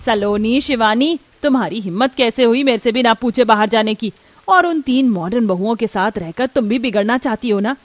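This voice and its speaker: Stern older mother-in-law played by a woman, bossy, suspicious, scolding commands, high-pitched, dry close storybook recording. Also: moderate pace, no room sound, close to an everyday voice. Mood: angry, commanding.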